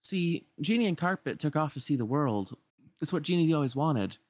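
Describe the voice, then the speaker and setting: A man's voice: on the high side, animated, normal speed, darkish tone, dry recording, pitched above the performer's own voice, lightly acted. A man voicing a friendly, easygoing young street lad from a game in a breezy, informal way. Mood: wistful, upbeat.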